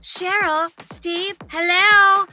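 An actress playing a showy, self-promoting, fame-hungry stage performer in a comic audio drama, gushing theatrically. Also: female voice, extremely high pitch, steady tone, extremely slow, pushed and bright, heavily acted. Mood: eager, scheming.

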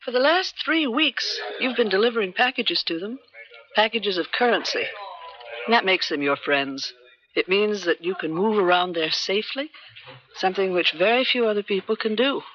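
A woman's voice: medium pitch, expressive, normal speed, a little hiss or hum, close to an everyday voice. Actress as a poised female secret agent, dull recording. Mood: cool, knowing. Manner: poised, assertive, cool. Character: poised, determined female American government agent, persuasive and secretive. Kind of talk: 1970s radio drama acting. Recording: dull 1970s radio broadcast recording.

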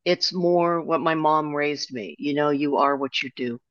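A woman's voice: low-pitched, unhurried, no room sound. Older woman telling her story, muffled. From Germany. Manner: reflective storytelling, frequent restarts. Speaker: guest author. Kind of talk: conversational interview.

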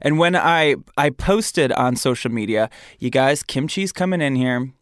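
A man from Michigan hosting a podcast in an animated, campy and chatty way, close to the mic. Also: medium pitch, unhurried, no room sound. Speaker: podcast host. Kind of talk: casual podcast chat.

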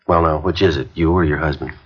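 An actor playing a methodical police detective in a radio crime drama, questioning in clipped, flat sentences and narrating the case. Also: male voice, extremely low pitch, quick pace, breathy edge. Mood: terse. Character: curt, methodical male police sergeant. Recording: dull lo-fi 1950s radio recording.

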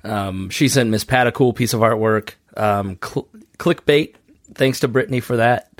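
A man's voice: medium pitch, normal speed, no echo, studio quality. Hesitant man co-hosting a podcast. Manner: hesitant, filler-heavy, thankful. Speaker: podcast co-host. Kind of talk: casual chat.

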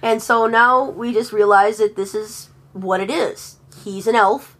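A woman's voice: mid-range voice, steady tone, a little slow, fairly bright tone. A woman talking casually as a podcast guest, chatty and agreeable. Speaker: guest, channeler.

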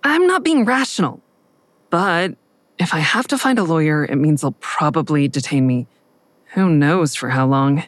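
A woman's voice: low-pitched, lively intonation, normal speed. An actress playing a guarded, defiant woman who narrates her own crime story in a wry, sarcastic way. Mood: tense, introspective. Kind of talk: audio drama acting with first-person narration.

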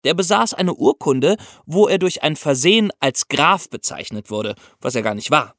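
Male podcast storyteller: lively, swooping intonation, higher-pitched voice, explains things straight to the audience. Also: normal speed, dry recording, studio quality. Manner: explanatory, addresses listeners directly. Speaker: podcast host. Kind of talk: scripted storytelling for a history podcast.

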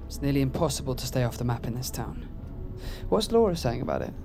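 An actor playing an apologetic, joking, protective male friend in a naturalistic audio drama, speaking in a halting, conversational way. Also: mid-range voice, very animated, gravelly, over music. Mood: curious, casual.

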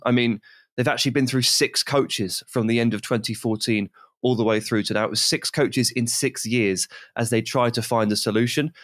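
A man's voice: mid-range voice, no room sound. Man giving football analysis, dry sound. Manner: detailed, enthusiastic analysis. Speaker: podcast co-host.

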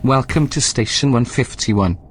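A man as a measured, insistent AI guide. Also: mid-range voice, heavy background noise, close to an everyday voice. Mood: calm, matter-of-fact. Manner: measured, matter-of-fact, commanding. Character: calm, insistent male artificial intelligence guide. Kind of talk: audio drama dialogue.

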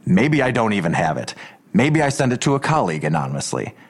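An actor playing a coolly taunting police detective in an audio drama, questioning in a controlled, insinuating way. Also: male voice, lowish voice, sing-song, quick pace, breathy edge, exaggerated voice.

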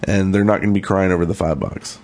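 A man's voice: deep voice, fairly even intonation, fast talker, a little mellow. A man speaking casually and with opinions as a co-host on a gaming podcast.

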